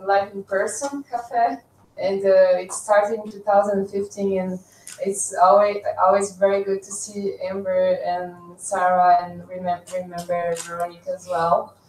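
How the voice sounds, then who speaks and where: Woman host, halting speech, roomy hall, distant mic, faint hum. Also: medium pitch, a little mellow. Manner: hesitant, many restarts. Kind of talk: casual group chat, moderating. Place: conference room.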